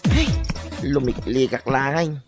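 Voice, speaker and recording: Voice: mid-range voice, unhurried, faint music behind, natural voice. An actor playing an exasperated, grumbling worker fed up with nosy neighbours in an Ilocano comedy radio drama. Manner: grumbling, exclaiming.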